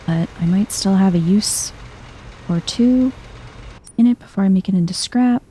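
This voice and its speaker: A woman giving soft-spoken, chill ASMR-style commentary as she plays a video game, murmuring as if to herself. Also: mid-range voice, moderate pace, slight background noise. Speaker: video game streamer, let's player.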